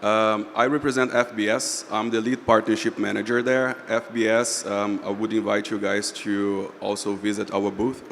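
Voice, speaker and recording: A man's voice: lowish voice, vocal fry. A man introducing himself as a partnership manager on an expo panel, polite and slightly formal. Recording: reverberant hall with faint noise.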